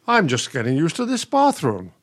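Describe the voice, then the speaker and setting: A man's voice: fairly high pitch, wildly swooping pitch, normal speed, a bit bright, heavily acted. A man playing a patient, resigned retired professor, an older husband in a radio play, speaking in a dry, understated way. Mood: weary, reproachful.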